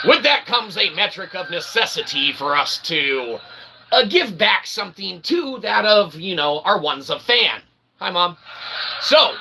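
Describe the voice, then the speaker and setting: A man hosting a comedic internet show in a rambling, tongue-in-cheek, mock-grandiose and wordy way, on a noisy home recording. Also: a little high.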